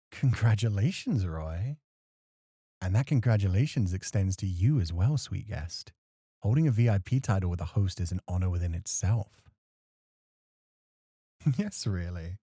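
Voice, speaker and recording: A man playing a courteous, gently teasing club host in a soft, flirty ASMR roleplay, suave and polite. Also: on the low side, slightly rough, no echo, studio quality, full character voice.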